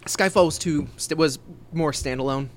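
A man's voice: a little high, moderate pace, slight background noise. A man co-hosting a casual podcast chat, talking in a loose way with many restarts. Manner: chatty, restarting sentences. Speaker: co-host.

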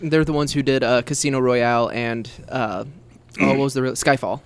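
A man's voice: mid-range voice, steady tone, moderate pace, smooth. A man co-hosting a casual podcast chat, talking in a loose way with many restarts. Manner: chatty, restarting sentences. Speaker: co-host.

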